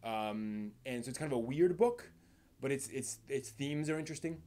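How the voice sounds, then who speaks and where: Male streamer, mid-pitched voice, unscripted and conversational, thinks out loud with frequent rewording. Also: animated. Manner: halting, thinking aloud, rephrasing mid-sentence. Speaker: live stream host. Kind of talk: casual unscripted talk to live viewers.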